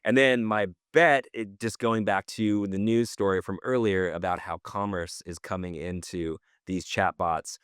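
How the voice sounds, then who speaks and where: Smooth, low-voiced man chatting on a podcast. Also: fairly even intonation, unhurried, few pauses, a bit bright, dry recording, clean studio sound. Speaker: podcast co-host. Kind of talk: casual podcast conversation.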